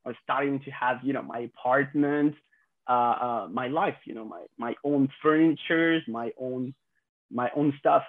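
A man in his twenties with a French accent, a podcast guest telling his story in a halting way, with many fillers and restarts. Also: mid-range voice, steady tone, phone-quality sound. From France. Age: 20s. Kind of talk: casual interview storytelling.

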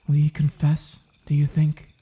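An actor playing an uneasy, dutiful Puritan sheriff in a period audio drama, restrained and formal. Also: male voice, on the high side, monotone, moderate pace, warm tone, natural voice. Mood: uneasy, conspiratorial. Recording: roomy, echoing sound.

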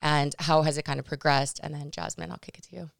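A woman's voice: low-pitched, fairly even intonation, fast, gravelly, no room sound, studio quality. A woman from California hosting a podcast interview, chatty and upbeat with frequent restarts. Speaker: podcast host.

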